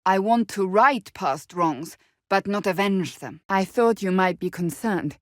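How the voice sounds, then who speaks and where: An actress voicing a stern, devout warrior woman in a video game, with formal, deliberate and blunt, commanding delivery. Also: medium pitch, animated, no room sound, clean studio sound, natural voice. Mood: earnest, solemn. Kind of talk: video game voice acting, dramatic dialogue.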